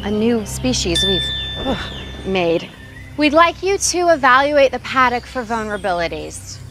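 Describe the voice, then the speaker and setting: Uptight female park boss, clipped, businesslike, video game cutscene, loud music underneath. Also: fairly high pitch, sing-song, measured pace. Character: brisk, tightly wound female theme park manager.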